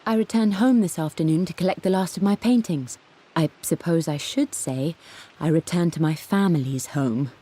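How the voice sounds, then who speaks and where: An actress playing a tender British mother and painter in a video game, with a soft, breathy voice. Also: lowish voice, moderate pace. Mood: reflective. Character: tender, happy mother, an upper-class painter recalling her life. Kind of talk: narrated journal memory in a video game.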